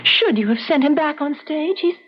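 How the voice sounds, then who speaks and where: An actress playing a pianist's anxious, tender wife in fretful, dramatic old-time radio drama style. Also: female voice, sits high, lively intonation, moderate pace, a little hiss or hum. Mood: concerned, tender. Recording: muffled old radio recording under music.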